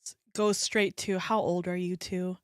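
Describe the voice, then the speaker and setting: A woman's voice: on the low side, flat delivery, a little slow, clean tone, no room sound, clean studio sound. A woman in her thirties reacting to a video with casual, sympathetic chatter, gasping and sighing. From United States. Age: 30s. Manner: chatty, sympathetic, frequent gasps and sighs. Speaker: VTuber streamer reacting to a video.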